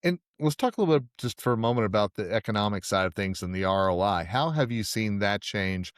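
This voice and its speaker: Male podcast host, quick pace, lowish voice, polite questions. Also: expressive, dry recording, clean studio sound. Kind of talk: podcast interview.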